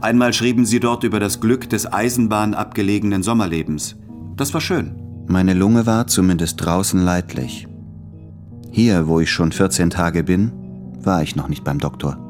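A melancholy man of letters, played by a male actor, speaks his love letters in a very deep, measured voice. He is brooding and introspective, in a literary radio play with music faintly behind him. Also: a little mellow, lightly acted. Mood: wistful, confiding.

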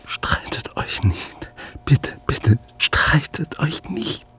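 An actor playing a helpless father's anguished inner voice in a radio drama, breathy, strained and halting. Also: a little high, sing-song, in a whisper, full character voice. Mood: sorrowful, pleading. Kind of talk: German radio drama monologue.

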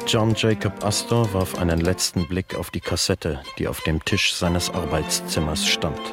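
Male narrator, radio drama: low, measured, deadpan, strong musical backing. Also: over music.

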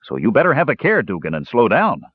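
Man acting a jaunty young warrior, very animated. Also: medium pitch, fast, slightly rough, dry recording, phone-quality sound, voice pushed up. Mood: boastful. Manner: jaunty, coaxing. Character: cheerful, boastful young warrior, coaxing easygoing brother.

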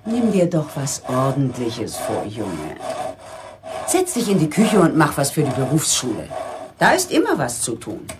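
A woman's voice: very deep voice, wildly swooping pitch, unhurried, noisy background, heavily acted. An actress playing a nagging, worried middle-aged mother in a German radio play, fussing and reproachful in manner.

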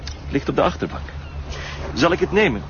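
An actor playing an unruffled, formally polite and mocking criminal go-between in a TV crime drama. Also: male voice, on the high side, very animated, fairly quick. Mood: taunting. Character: smooth-talking, unruffled male go-between for a criminal. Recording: dull lo-fi outdoor scene, clear noise.

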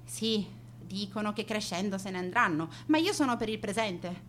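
A woman's voice: medium pitch, moderate pace, a bit bright, slight background noise, close to an everyday voice. A young woman acting a vain, scornful teenage schoolgirl who narrates her days in a theatrical, confiding radio monologue. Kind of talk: dramatic radio monologue in present tense.

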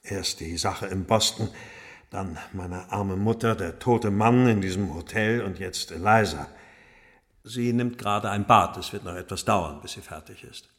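A man's voice: sits low, unhurried, fairly bright tone. A man acting a strained, haughty Victorian master of the house in a radio crime drama, stiff and formal. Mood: weary, apologetic.

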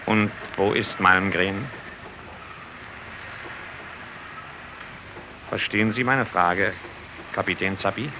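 An actor playing a terse, grave icebreaker captain in a German radio play, giving clipped orders and questions. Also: male voice, lowish voice, measured pace. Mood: grave, questioning. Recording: noisy old radio drama recording.

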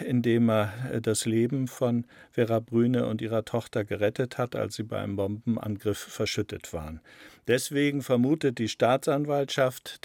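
Guy being interviewed, creaky gravelly voice, halting and careful with words, really dry sound. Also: mid-range voice, a little mellow, dry recording. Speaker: interviewed radio drama author. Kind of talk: explanatory interview answers.

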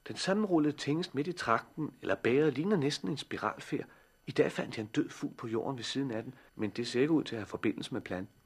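An actor playing an earnest, curious teenage boy who loves botany, in 1960s radio drama style, with a husky voice. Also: medium pitch, lively intonation. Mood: earnest, absorbed.

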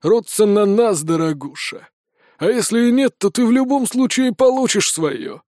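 A man voicing a crude, mocking bandit leader with a booming, threatening manner in a fantasy audiobook. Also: lively intonation, moderate pace, darkish tone, no room sound, studio quality. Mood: menacing. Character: gruff menacing male bandit chief, crude and mocking.

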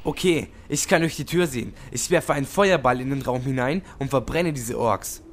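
A man's voice: medium pitch, moderate pace, close to an everyday voice. A man playing a smug tabletop gamer in a comedy audio drama, with a dry, matter-of-fact delivery. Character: smug, dry male tabletop player of a mage.

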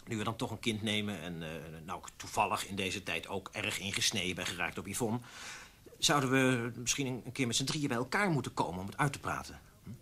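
Agitated, husky, stammering newspaperman. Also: lowish voice, a little fast, pushed and bright. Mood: earnest, hesitant. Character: indignant, wavering male newspaper columnist, self-justifying. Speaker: radio drama actor. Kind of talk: naturalistic radio play dialogue.

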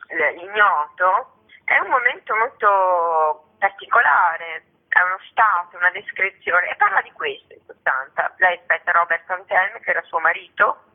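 A woman's voice: on the low side, animated, normal speed. A woman, an actress and author, talking spontaneously as an interview guest over the telephone, discursive and often rephrasing mid-sentence. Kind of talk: spontaneous radio interview talk. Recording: tinny, narrow-band telephone line.

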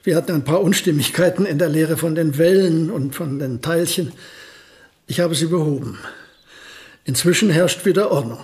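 An actor playing a famous elderly physicist in a radio drama, speaking in a measured, pedantic and earnest way. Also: male voice, a little high, a little mellow, lightly acted. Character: famous elderly male physicist, precise, proud, fussy.

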